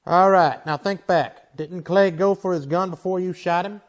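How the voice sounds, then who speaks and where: A steady Old West marshal played by a man in a western radio play, with a high voice for a man. He is blunt, commanding and folksy, with a dry wit under the sternness. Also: normal speed, lightly acted. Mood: exasperated warning. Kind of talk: old-time western radio drama acting.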